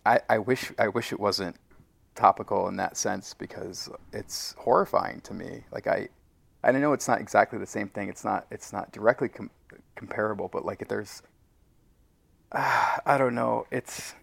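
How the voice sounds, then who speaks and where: Creaky-voiced man chatting as interviewee. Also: fairly low pitch, normal speed, a bit bright, dry recording. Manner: self-deprecating, wry. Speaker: audio drama co-creator being interviewed. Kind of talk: casual conversation.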